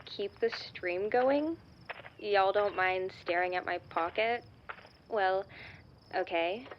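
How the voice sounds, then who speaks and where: A woman's voice: medium pitch, takes it slowly, lo-fi sound, close to an everyday voice. A woman playing a young American livestreamer caught in an alien invasion, in an audio drama. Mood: casual, drowsy. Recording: noisy outdoor recording with insects.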